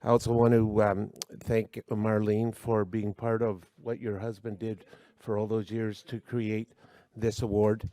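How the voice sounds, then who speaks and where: A man's voice: lowish voice, level tone, a little slow, warm tone. A middle-aged man giving a humble award acceptance speech at a podium microphone, with many fillers. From Canada. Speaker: award recipient. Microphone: podium microphone, spoken into closely.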